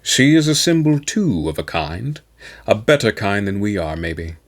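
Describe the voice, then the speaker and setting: A man's voice: fairly low pitch, lively intonation, normal speed. Man reading as a grave, weary commander, swinging intonation. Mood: grave, reflective. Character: grave, weary, commanding male captain general of an empire. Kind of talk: dramatic sci-fi audiobook dialogue.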